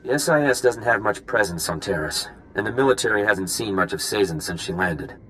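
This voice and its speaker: A dutiful field officer in a video game, with a fairly low voice. He is earnest and respectful, reporting crisply over noticeable ambient noise. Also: expressive, fairly quick, pushed and bright, poor audio. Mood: brisk, dutiful.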